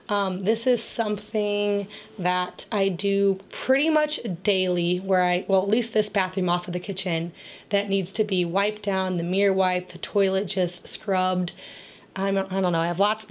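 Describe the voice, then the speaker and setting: Raspy-voiced woman chatting casually. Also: medium pitch. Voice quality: raspy, crackly voice. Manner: chatty, rambling, with frequent fillers and laughs. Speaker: homemaking vlogger. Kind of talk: casual voice-over chat.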